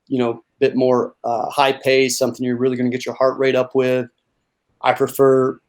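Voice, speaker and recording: Young man, monotone delivery, easygoing coach with a casual, halting style. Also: mid-range voice. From North Carolina. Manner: relaxed, with many fillers and repeated words. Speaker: strength and conditioning coach. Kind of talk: casual interview answers.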